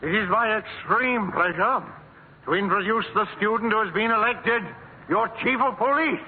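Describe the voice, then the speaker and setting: An actor playing a self-important mayor giving a grandiloquent speech in 1940s radio sitcom acting. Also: male voice, high-pitched, normal speed, natural voice. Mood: orotund. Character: windy man politician mayor, self-important. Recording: tinny old radio broadcast.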